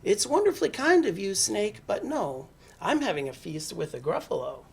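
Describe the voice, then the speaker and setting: Woman as a polite, lightly husky little mouse. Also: medium pitch, sing-song, normal speed, darkish tone, voice pushed up, heavily acted. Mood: polite refusal. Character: small clever brown mouse, polite, bluffing, confident. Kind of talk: animated picture-book character reading.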